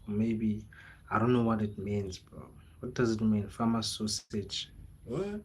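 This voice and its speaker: A man's voice: fairly low pitch, steady tone, measured pace, slight background noise. South African man chatting casually on livestream. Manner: informal, slangy, code-switches into local languages, repeats phrases. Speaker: livestreamer, content creator. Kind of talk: casual livestream chat, motivational rambling.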